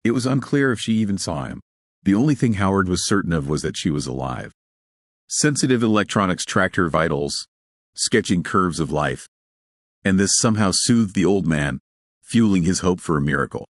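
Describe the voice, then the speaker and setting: Very deep male storyteller, close and dry. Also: lively intonation, moderate pace, dry recording. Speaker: narrator of an audio story. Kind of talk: scripted dramatic narration of sci-fi horror.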